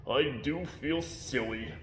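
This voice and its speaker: A man's voice: mid-range voice, slow pace, faint music behind, natural voice. A man voicing a silly, sheepish toy dog in a storybook reading. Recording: roomy, echoey indoor sound.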